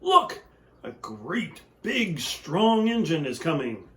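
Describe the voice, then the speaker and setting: Man putting on a high eager toy clown. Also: big pitch swings, a little slow, reverberant. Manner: high, eager and bright-voiced calling. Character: cheerful little toy clown, male.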